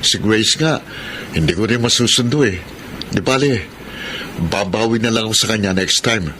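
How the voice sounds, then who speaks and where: An actor playing a self-assured, money-minded young accountant in a Filipino radio drama, speaking casually. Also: male voice, lowish voice, normal speed, slight background noise, natural voice, rough recording. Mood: breezy, assured. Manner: casual, confident. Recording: studio radio drama over loud music.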